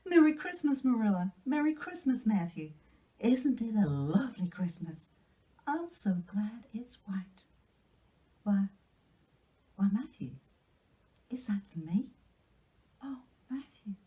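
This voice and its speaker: An excitable, dreamy young girl from a storybook, played by a woman reader. Her voice lifts well above the reader's own and often turns breathy. She speaks in effusive, gushing exclamations, with a soft, mellow tone. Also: medium pitch, slow, long pauses, voice pushed up. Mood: joyful wonder.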